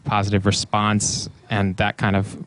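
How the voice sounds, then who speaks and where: An easygoing man in his twenties, guesting on a radio show. He hesitates and fills his pauses with "um" and "like" and laughs easily. His voice is recorded close and completely dry. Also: on the low side, monotone, a bit bright, no echo. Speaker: musician guest. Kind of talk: casual radio interview.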